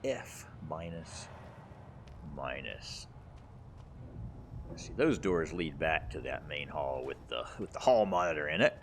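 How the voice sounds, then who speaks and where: A man's voice: very deep voice, expressive, takes it slowly, pauses often, slight background noise. A man giving casual gameplay commentary as host of a gaming video, musing aloud as he plays. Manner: muses aloud while playing.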